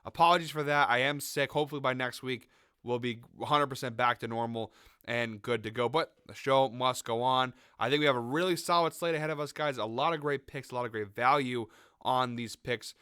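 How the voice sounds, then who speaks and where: A man hosting a sports betting show, chatting casually through his picks while sick, with a raspy, nasal voice. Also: medium pitch, brisk, bright, forceful tone, no echo, studio quality. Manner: conversational, hedging, frequent fillers. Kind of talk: casual picks commentary to camera.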